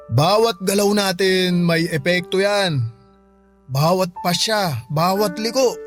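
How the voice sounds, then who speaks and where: High-voiced tipsy Filipino uncle, played by a man. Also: fairly bright tone. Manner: reflective, philosophizing, emphatic. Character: tipsy, lovelorn uncle abandoned by his partner. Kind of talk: Tagalog radio drama acting.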